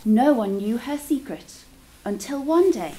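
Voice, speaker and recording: High, slow, expressive woman storyteller. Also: a little hiss or hum, low-quality recording. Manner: dramatic, engaging listeners with questions. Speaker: teacher reading a story aloud.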